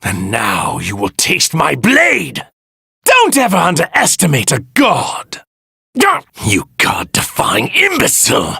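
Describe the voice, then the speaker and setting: Husky, pompous evil god, wildly animated. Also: male voice, on the high side, slow, no echo, clean studio sound, full character voice. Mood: haughty contempt. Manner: pompous, theatrical, grandiose, menacing. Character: arrogant evil god in a stolen warrior body. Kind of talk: anime video game voice lines.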